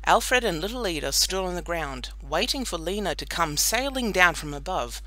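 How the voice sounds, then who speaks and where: A woman narrating a children's audiobook in an expressive, scripted storytelling style. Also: lowish voice, normal speed, no echo, rough recording.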